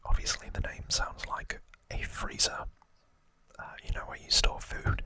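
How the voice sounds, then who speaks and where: A man speaks entirely in a whisper, as an ASMR host sharing trivia. His delivery is slow and halting, with hushed phrases set down a few words at a time. The whisper has a crisp, bright edge to it.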